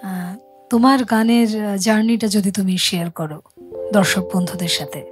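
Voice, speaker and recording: A woman's voice: mid-range voice, steady tone, normal speed, over music. A woman host with a Bengali accent, speaking casually to a guest in a chat interview.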